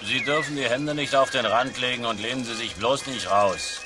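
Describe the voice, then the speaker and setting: A man's voice: medium pitch, normal speed, music in the background, close to an everyday voice. A man playing a fussy, hesitant dinosaur ride operator in a children's audio play. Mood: bumbling, dutiful. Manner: dawdling, hesitant, reciting safety warnings. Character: slow, bumbling, fussy fairground ride operator. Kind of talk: children's audio drama acting.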